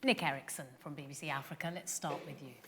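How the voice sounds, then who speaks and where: A woman's voice: low-pitched, fairly even intonation, fast talker, a bit bright, slight background noise. A woman moderating a live panel debate as its host, addressing panellists in turn. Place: radio theatre stage. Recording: reverberant hall.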